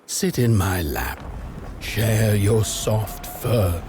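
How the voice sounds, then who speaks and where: Man putting on a coaxing old crone, swooping pitch. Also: lively intonation, extremely slow, over loud music, exaggerated voice. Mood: wheedling. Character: coaxing, sinister old crone luring a cat.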